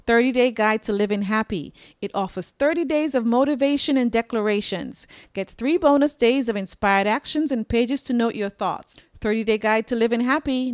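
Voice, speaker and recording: A woman delivers an advert voice-over in a polished, upbeat way. Her voice is a little high, and the recording is very dry and close. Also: no echo.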